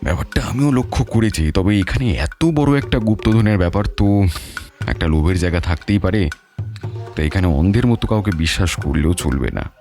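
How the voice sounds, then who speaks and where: An actor playing a calm, commanding detective and mentor in an audio story, speaking in a measured, authoritative way. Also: male voice, low voice, soft background music. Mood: calm, decisive.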